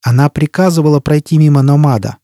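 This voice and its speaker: A man's voice: a little high, fast talker, warm tone, dry recording, clean studio sound, close to an everyday voice. A man voicing an enraged, desperate, vengeful man in an audiobook, with a harsh, commanding, embittered manner. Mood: insistent. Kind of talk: audiobook character acting.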